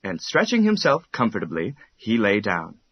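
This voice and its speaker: A man narrating a children's bedtime story in an expressive, measured storytelling manner. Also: fairly low pitch, slightly rough, highs cut off.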